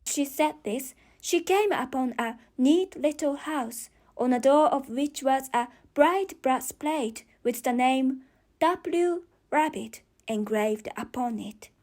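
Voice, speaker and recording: A woman's voice: high voice, slow pace, darkish tone. A woman with a non-native English accent reading a children's story as an audiobook narrator.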